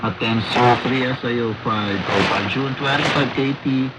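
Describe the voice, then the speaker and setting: A man's voice: mid-range voice, normal speed. A man from the Philippines with a Filipino accent, reading a scripted report as a radio correspondent. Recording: noisy shortwave radio broadcast.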